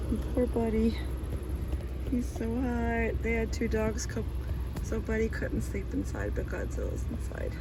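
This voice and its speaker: Slow hoarse chatty woman. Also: medium pitch, background noise. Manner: folksy, rambling, laughs often, says y'all. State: hoarse from a cold. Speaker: van-dwelling vlogger. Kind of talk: casual chatty vlog.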